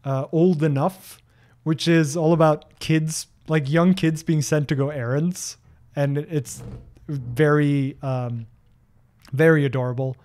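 A man's voice: fairly high pitch, steady tone, takes it slowly, darkish tone. A man in his thirties from Norway, speaking English as a second language, casually answering viewer questions on a stream from his home office into a desk mic, with lots of ums and restarts. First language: Norwegian. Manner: chatty, many ums and restarts, reads questions aloud. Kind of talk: casual livestream Q&A. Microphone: desk broadcast mic close to face.

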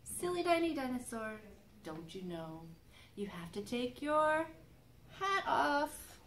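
A middle-aged woman reads a picture book for small children at an unhurried pace. Her pitch rises and falls widely in sing-song storytelling. She is recorded indoors from a few feet away, with faint room noise. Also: very animated, fairly bright tone. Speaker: children's librarian. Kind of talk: picture-book read-aloud to young children. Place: library reading area with magazine racks, indoors. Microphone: camera microphone a few feet away.

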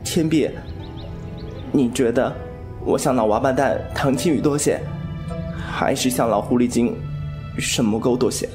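A man's voice: mid-range voice, unhurried, long pauses, music in the background, natural voice. A man playing a cheeky, boastful young rogue healer in a Chinese wuxia audio drama, playful and theatrical. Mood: wry, wistful.

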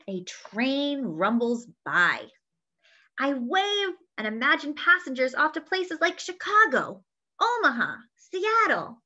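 Young woman, high-pitched, very lively intonation, children's read-aloud, expressive storytelling, slightly dull lo-fi webcam recording. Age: young adult. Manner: animated, sing-song storytelling with expressive character lines. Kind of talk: read-aloud of a picture book with asides to young listeners. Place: small indoor room at home.

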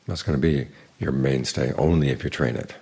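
An older man, a Buddhist monk, giving an evening dharma talk in an unhurried, plain teaching manner with dry humor. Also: darkish tone. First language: English. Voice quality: very deep gravelly voice.

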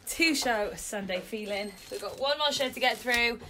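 A woman's voice: normal speed, bright, forceful tone. A woman in her twenties chatting casually and informally to a camera held at arm's length as she goes about her day. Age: 20s. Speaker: vlogger and pantomime performer. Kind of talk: casual vlog chat to camera. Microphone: phone or camera at arm's length. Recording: handheld vlog, background ambience and traffic rumble.

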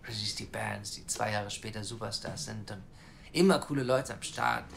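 An actor playing a charming, romantic journalist in an audio drama, easygoing and slightly boastful. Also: male voice, lowish voice, normal speed, gravelly, fairly bright tone, a little hiss or hum. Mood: breezy. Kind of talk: naturalistic audio drama acting.

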